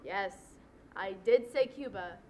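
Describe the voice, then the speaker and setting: A woman's voice: mid-range voice, takes it slowly, mellow, slight background noise. A young woman performing a monologue in a declamatory way. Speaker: student performer. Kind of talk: delivering a monologue.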